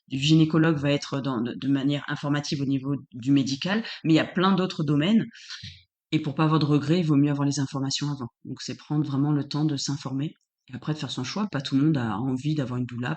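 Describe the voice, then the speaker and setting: Woman, doula guest on an interview, relaxed and talkative, often restarts her sentences. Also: low voice. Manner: searching for words, frequent restarts. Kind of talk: casual interview answers.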